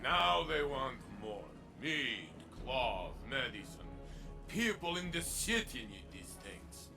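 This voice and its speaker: A man's voice: medium pitch, sing-song, slow pace, vocal fry, over music, full character voice. An actor playing a resentful, weary city spokesman with a terse, accusing manner.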